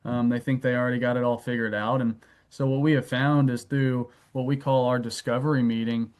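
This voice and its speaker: Steady-voiced wealth advisor guest. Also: male voice, medium pitch. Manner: steady, anecdotal, filler "um". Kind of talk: explanatory interview answers.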